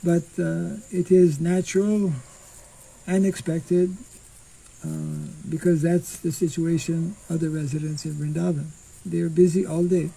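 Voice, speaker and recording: An older monk giving an informal scripture class outdoors into a stand mic, speaking slowly and haltingly and clearing his throat often. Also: on the high side, flat delivery, mellow, rough recording. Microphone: stand mic in front.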